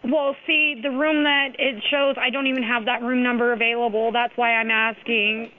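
A woman's voice: on the high side, little pitch movement, moderate pace. A woman working a hotel front desk, handling a customer call in an insistent, procedural way. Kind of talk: customer service phone call. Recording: tinny, narrow phone line.

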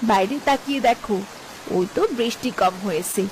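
A woman's voice: mid-range voice, a little fast, slight background noise, lightly acted, poor audio. Woman acting nagging, impatient village wife. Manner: scolding, insistent. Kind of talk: Bengali cartoon voice acting.

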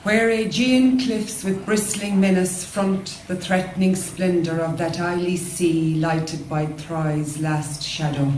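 An Irish woman giving a solemn reading of poetry at a ceremony. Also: fairly low pitch. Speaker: reader. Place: stone hall, at a lectern. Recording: echoey hall, faint background noise.

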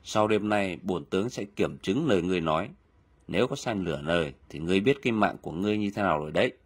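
A man voicing a stern medieval army general in an animated historical film, firm and authoritative. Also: low voice, quick pace, slightly rough.

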